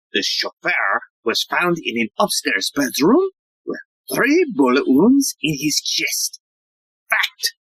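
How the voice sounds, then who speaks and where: A self-important detective inspector acted by a woman holds forth in a low voice with huge swings of pitch. The delivery is theatrical and lecturing, full of dramatic pauses, in a close, dry audio play recording. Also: gravelly, fairly bright tone, slightly rough, dry recording, full character voice, low-quality recording.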